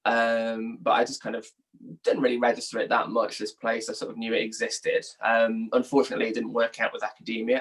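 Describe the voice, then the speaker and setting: A man's voice: lowish voice, fairly bright tone, poor audio. A young man from England giving informal, thoughtful interview answers as a journalist, often hedging as he talks. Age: young adult.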